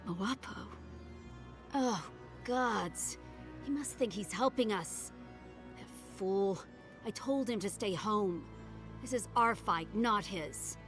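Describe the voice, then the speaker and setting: An actress playing a fierce woman archer defending a camp, sharp and threatening in a video game. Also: medium pitch, slow pace, pauses often, faint music behind, lightly acted. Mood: stern.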